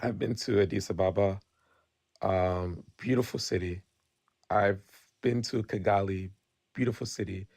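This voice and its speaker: A man's voice: on the low side, fairly even intonation, measured pace, a little mellow, no room sound, studio quality. A Nigerian-born man, talking bluntly in a casual podcast debate and tagging his points with "right?". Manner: candid, blunt, frequent restarts and "right?" tags.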